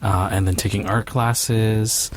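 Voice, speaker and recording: A man's voice: lowish voice, fairly even intonation, slow pace. A middle-aged man with a Canadian accent, talking casually as an interview guest in a rambling, hesitant way peppered with "you know". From Vancouver, Canada. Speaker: podcast guest, filmmaker.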